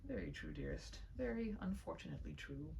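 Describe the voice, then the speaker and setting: A woman's voice: lowish voice, lively intonation, brisk, creaky, mellow, a little hiss or hum. A woman voicing a flustered, mildly huffy shopkeeper husband with a hesitant, formal manner. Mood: weary, tender. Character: flustered, polite, mildly huffy husband who runs a shop.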